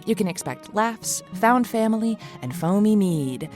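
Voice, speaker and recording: A woman's voice: mid-range voice, lively intonation, measured pace, a little mellow, soft background music. A woman reading a scripted but conversational podcast promo in a playful, chatty manner, as a show's co-creator.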